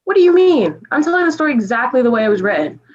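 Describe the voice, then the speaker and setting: A woman's voice: sits high, very animated, rapid-fire. Earnest, pedantic storyteller, played by a woman, muffled audio. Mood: defensive. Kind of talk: comic stage reading.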